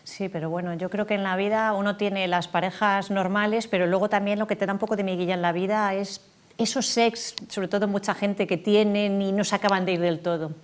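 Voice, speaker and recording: A woman's voice: medium pitch, fairly even intonation, brisk. A woman from Galicia, an author being interviewed in a TV studio, talking with many fillers and restarts. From Galicia, Spain. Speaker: author guest.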